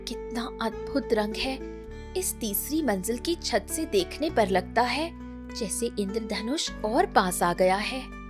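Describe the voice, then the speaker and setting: A woman voicing a gentle, indulgent village grandmother in a cartoon story, unhurried and tender. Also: on the high side, lively intonation, over music. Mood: gentle, admiring. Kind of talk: Hindi cartoon story dialogue.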